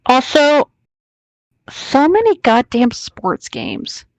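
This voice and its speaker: A woman's voice: high-pitched, wildly swooping pitch, slow, dry recording. A woman co-hosting a casual group chat, speaking bluntly with some swearing. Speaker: co-host.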